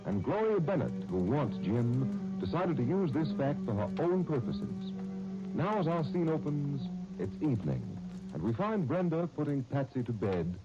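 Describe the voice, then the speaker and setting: A man's voice: mid-range voice, big pitch swings, moderate pace, loud music behind the voice. A man announcing and narrating in a polished, emphatic 1930s radio style. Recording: dull 1930s radio broadcast.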